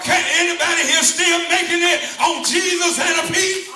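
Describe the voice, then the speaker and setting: A man preaching a call-and-response sermon, shouting in rhythm and repeating his phrases to the congregation. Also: very high-pitched, fairly even intonation, moderate pace, over music, crowd noise. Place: large church hall. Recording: echoey hall, far mic, congregation behind.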